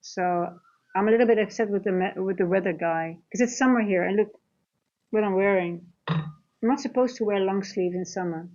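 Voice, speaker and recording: A woman with a Dutch accent hosts a casual livestream, speaking conversationally to her viewers. Her voice sits at a medium pitch for a woman. The audio is dull and lo-fi, lacking some detail. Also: moderate pace, darkish tone. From Netherlands. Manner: informal, conversational, addressing chat directly. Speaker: livestream host, trading coach.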